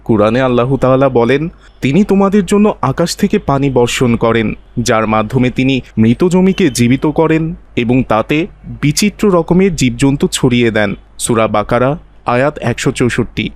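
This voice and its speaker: A man voicing a learned, calm village mosque cleric in a cartoon, teaching with measured authority. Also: mid-range voice, close to an everyday voice. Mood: solemn teaching. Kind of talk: didactic cartoon dialogue with recitation.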